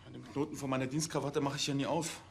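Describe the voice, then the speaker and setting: A man's voice: medium pitch, flat delivery, quick pace, gravelly, background noise, lightly acted. A man playing an awkward, bashful police officer who speaks hesitantly and sheepishly in a TV crime comedy. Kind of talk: naturalistic TV crime comedy acting.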